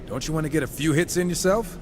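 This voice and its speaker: A man's voice: a little high, quick pace, a little hiss or hum, close to an everyday voice. An actor playing a cocky, irreverent horseman in a video game, talking in sarcastic, breezy quips. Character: cocky, wisecracking male horseman, irreverent, impatient gunslinger type. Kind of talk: video game cutscene acting.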